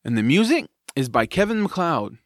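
Animated man announcing an audio drama. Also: on the high side, normal speed, no echo, clean studio sound. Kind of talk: scripted podcast introduction.

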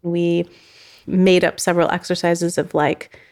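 Low, gravelly-voiced woman musing aloud. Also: flat delivery, a little slow, darkish tone. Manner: thoughtful, loosely phrased with frequent "like". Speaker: sound artist and researcher. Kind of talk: reflective interview talk.

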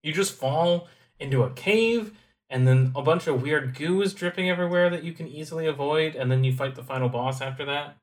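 A young man co-hosting a podcast, talking about a game in a relaxed, thoughtful way with wry humor. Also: fairly high pitch, brisk. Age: young adult. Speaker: podcast co-host. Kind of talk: casual game discussion.

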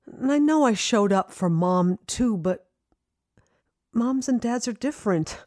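An actress playing a wry, reflective adult daughter in an audio drama, confiding her story with pauses and sighs. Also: fairly high pitch, lively intonation, darkish tone.

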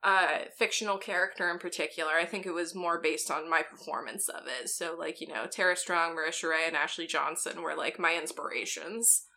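Bright-voiced young woman with a monotone edge. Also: sits low, a little fast, creaky, few pauses. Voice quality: somewhat monotone. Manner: relaxed, rambling, with fillers. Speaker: podcast player and co-host. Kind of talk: casual podcast chat.

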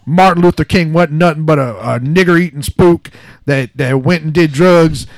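Man putting on a slow pompous bigoted rabble-rouser. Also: fairly high pitch, no room sound, lightly acted. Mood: pompous, preachy. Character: bigoted hate-group recorded hotline speaker, pompous rabble-rouser. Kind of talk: mock hotline speech.

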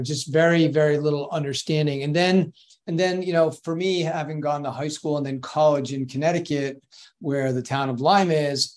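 Flat-toned man telling his story. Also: mid-range voice. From Long Island, New York. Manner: relaxed, many ums and restarts. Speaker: podcast guest. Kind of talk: casual interview storytelling.

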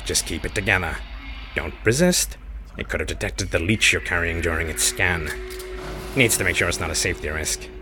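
A man's voice: deep voice, a little fast, music in the background, voice pushed down, lightly acted. A man voicing a blunt, wry male guide in a science fiction audiobook, matter-of-fact and assured. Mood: urgent.

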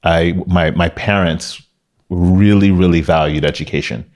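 A man from Miami, Florida, a company founder talking as a guest in a relaxed interview, telling his story with many restarts and fillers. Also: sits low, a little slow. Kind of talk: casual interview talk.

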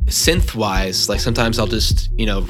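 A man interviewing a singer, asking curious, relaxed questions with plenty of fillers. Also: on the low side, normal speed, faint music behind. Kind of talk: casual interview.

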